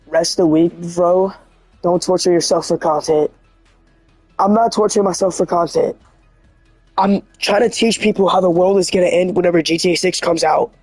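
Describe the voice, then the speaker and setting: A man with a hoarse, scratchy voice, rambling earnestly and excitably as a livestreamer. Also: high-pitched, no echo. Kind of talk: casual livestream monologue.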